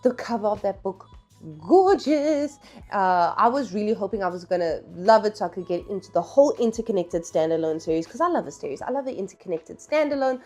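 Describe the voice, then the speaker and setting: A woman's voice: medium pitch, animated, brisk, a little mellow. Female book vlogger, mid-range voice, casual and slangy, frank and emphatic opinions, music underneath. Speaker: book reviewer, vlog host. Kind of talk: casual, chatty book review vlog.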